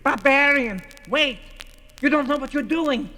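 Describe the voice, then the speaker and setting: An actor playing a small, frail, twisted villager, urgent and pleading, in a 1970s audio drama. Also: male voice, very high voice, measured pace, bright, forceful tone, heavily acted. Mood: alarmed, pleading.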